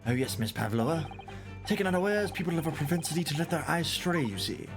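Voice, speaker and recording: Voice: mid-range voice, lively intonation, quick pace, music in the background, natural voice. A streamer reading the lines of a theatrical eccentric male detective in a grand, declamatory way. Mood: grandiose.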